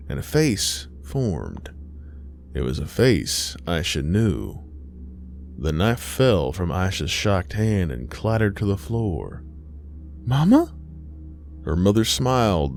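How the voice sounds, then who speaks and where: Low-pitched man narrating a horror story. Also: creaky, a little mellow. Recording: faint music underneath. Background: faint music.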